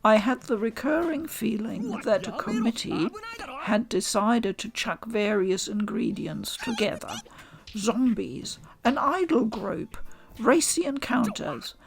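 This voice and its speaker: A woman reading a scripted game review voice-over in a measured, articulate and deliberate way. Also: on the high side, expressive, gravelly. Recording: home voice-over with music underneath. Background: game music.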